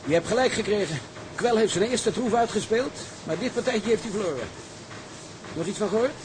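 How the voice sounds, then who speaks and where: An actor playing a shrewd, seasoned ship's officer in a radio drama, speaking Dutch as in the Netherlands. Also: male voice, high-pitched, expressive, fairly quick. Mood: knowing, grave. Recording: noisy radio drama recording.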